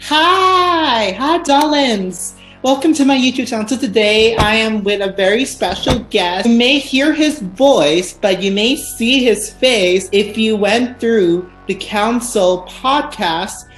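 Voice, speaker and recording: A woman's voice: on the high side, takes it slowly, few pauses, roomy sound, faint music behind, low-quality recording. A woman hosting a casual video chat with a guest, bubbly and theatrical. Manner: bubbly, theatrical, apologetic about pronunciations. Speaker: host.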